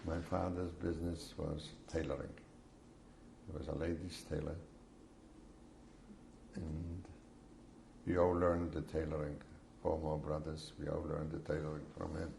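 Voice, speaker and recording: A man in his seventies from Poland, giving slow, measured answers in an oral history interview. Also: extremely low pitch, little pitch movement, creaky, dark tone, slight background noise. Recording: echoey room.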